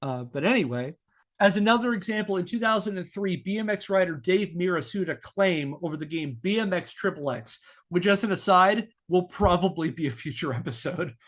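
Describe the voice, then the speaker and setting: Guy with a pretty high voice hosting a podcast, reading his script w/ wry little asides. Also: animated, brisk, fairly bright tone. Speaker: podcast host. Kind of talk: scripted narration with casual asides.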